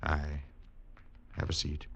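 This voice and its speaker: A gruff, deep-voiced tavern keeper. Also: male voice, completely flat delivery, takes it slowly, dull audio, noisy background, full character voice. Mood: curt suspicious. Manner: curt, suspicious, low-spoken. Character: wary, gruff male Boston tavern keeper. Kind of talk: 1940s radio acting.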